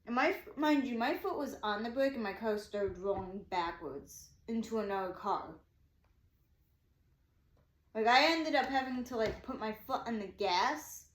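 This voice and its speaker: A woman in her twenties telling a story casually, rambling and repeating herself as she quotes her own thoughts. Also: fairly high pitch. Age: 20s. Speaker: vlogger. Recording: echoey room.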